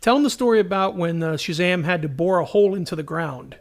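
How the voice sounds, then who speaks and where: A man's voice: sits high, fast, gravelly. A man co-hosting a podcast in casual fan discussion, speaking emphatically and with strong opinions, often in anecdotes. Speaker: podcast co-host. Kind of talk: casual enthusiast discussion about TV shows.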